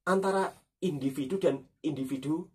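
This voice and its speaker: A man's voice: fairly high pitch, moderate pace, husky, recorded from a distance. A man gives conversational commentary as a host, emphatically repeating his points and often adding tag questions. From Indonesia. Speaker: host of a reaction talk.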